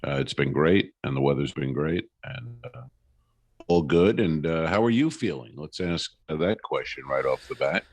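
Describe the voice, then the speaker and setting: A man's voice: a bass voice, expressive, moderate pace, no room sound. A man co-hosting a comedy podcast from Napa, chatting with hesitant fillers and playful reactions as he searches for words. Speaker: podcast co-host. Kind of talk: casual comedic chat. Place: Napa, California, indoors.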